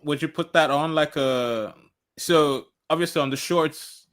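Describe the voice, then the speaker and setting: A man's voice: a little high, a little slow, a bit bright. A man from Nigeria hosting a podcast, casual and agreeable, laughing easily and restarting his sentences. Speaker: podcast host. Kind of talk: casual interview chat.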